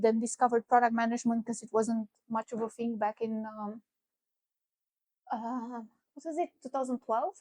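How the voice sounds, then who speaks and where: A woman's voice: a little high, darkish tone, dry recording. A middle-aged woman from Romania with a Romanian accent, giving a conference keynote in a conversational way, with frequent fillers and restarts. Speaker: keynote speaker. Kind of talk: conference talk. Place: conference venue.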